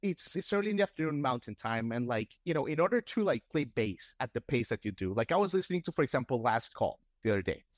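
A man's voice: medium pitch, big pitch swings, quick pace, few pauses, no echo, clean studio sound. A man hosting a podcast interview, asking rambling questions full of restarts and fillers. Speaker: podcast host. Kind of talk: casual interview.

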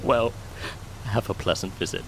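An actor playing a folksy, cryptic rural man in an audio drama, telling tales in an unhurried, hushed manner. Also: lowish voice, reverberant, long reverb tail, background noise, close to an everyday voice. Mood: knowing, cordial.

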